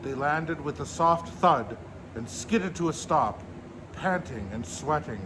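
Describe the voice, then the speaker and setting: Higher-pitched man reading a children's story. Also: lively intonation, slow, background noise. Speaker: storyteller in a Santa persona, host.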